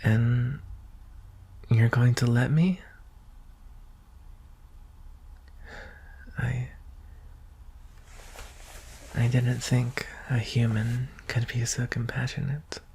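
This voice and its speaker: A man performs a young alien prince in an intimate sci-fi ASMR roleplay. The voice is very breathy and often drops to a whisper, moving slowly with hesitant pauses. He sounds gentle, earnest and vulnerable, with little swing in pitch. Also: steady tone, full character voice. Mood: surprised, hopeful.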